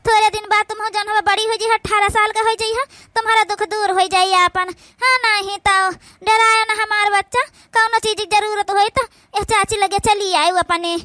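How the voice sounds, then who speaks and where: A woman's voice: very high-pitched, little pitch movement, normal speed, bright, forceful tone, no echo. A woman voicing a kindly, protective village aunt in a cartoon, soothing and motherly, with an Awadhi accent. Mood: reassuring. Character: kindly, protective village aunt consoling her orphaned niece. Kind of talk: village cartoon comedy-drama acting.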